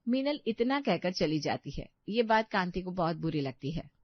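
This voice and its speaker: A woman's voice: on the low side, sing-song, moderate pace, lo-fi sound. A woman narrating a scripted family story as a storyteller.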